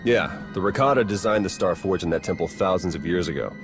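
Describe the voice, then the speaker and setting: A wry, easygoing male spy in a video game, dryly sarcastic in a fairly low voice. Loud game music plays behind him. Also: expressive, fast talker.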